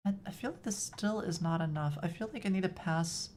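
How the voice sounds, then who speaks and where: Woman, Texan accent, fairly low voice, chatty, answers chat while coding, casual stream. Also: a little fast, a little mellow, slight background noise. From Texas, US. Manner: chatty, answers chat questions, self-deprecating.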